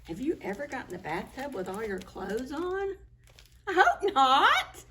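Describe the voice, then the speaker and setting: A woman with a high, very lively voice hosts story time for small children. She reads picture books aloud at an unhurried pace, sing-song and expressive, talking straight to her young listeners. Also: slight background noise. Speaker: children's librarian hosting preschool story time.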